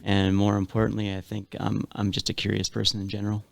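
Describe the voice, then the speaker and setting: Low gravelly laid-back Canadian man. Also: monotone, fast. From Saskatchewan, Canada. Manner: laid-back, curious, questioning with many "like"s. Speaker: podcast co-host. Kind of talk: casual podcast chat.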